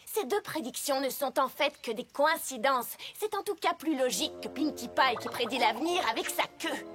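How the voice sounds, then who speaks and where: A studious, logical young unicorn explains things in a precise, exasperated way. Her voice is high and lively, with loud cartoon music behind. It is a dubbed children's cartoon. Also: moderate pace. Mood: skeptical. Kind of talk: French cartoon dub.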